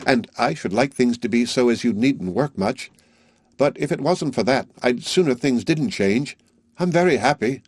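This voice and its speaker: A man reading aloud, voicing an earnest, loving young village girl who talks gently and simply. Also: medium pitch, lively intonation, normal speed, slightly rough, no echo, studio quality. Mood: tender.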